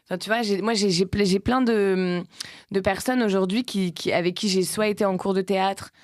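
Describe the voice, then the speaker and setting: A woman's voice: mid-range voice, steady tone, moderate pace, darkish tone, no room sound. Woman, late 30s, actress-producer type, loose rambling interview talk, dry sound. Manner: rambling, many restarts and fillers.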